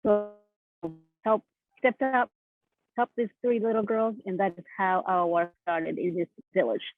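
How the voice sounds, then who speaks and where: A woman's voice: mid-range voice, little pitch movement, unhurried, no echo. Woman on a tinny call line. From East Africa. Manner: earnest, personal storytelling. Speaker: panelist, therapist and founder. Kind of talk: panel interview answers. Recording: tinny phone-like video call audio.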